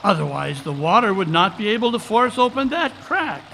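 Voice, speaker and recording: Man playing a high-pitched, keen detective. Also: very animated, normal speed, vocal fry, sharp tone, heavily acted. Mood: excited. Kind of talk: old-time radio drama acting, live stage reading. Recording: live conference recording, background water noise.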